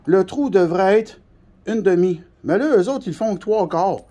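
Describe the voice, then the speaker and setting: A man with a Quebec French accent and a distinctly high voice. He explains things informally, like a technician showing parts by hand. His wording is colloquial, and he repeats himself for emphasis. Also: lively intonation, unhurried, warm tone. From Canada. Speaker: CB radio shop technician. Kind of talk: informal hands-on product explanation.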